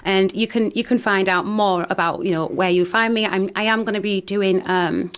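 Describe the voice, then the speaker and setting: A woman's voice: mid-range voice, steady tone, moderate pace, clear, resonant tone. A woman talks about herself in a radio studio. She is earnest and personal, often restarting her sentences as she goes. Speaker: guest, founder of a wellbeing company. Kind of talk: radio interview.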